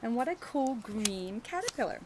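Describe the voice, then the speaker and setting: Animated woman teacher reading to young children. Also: fairly high pitch, normal speed, noisy background. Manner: engaging the children with questions and tag questions. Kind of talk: animated picture-book read-aloud with chatty asides.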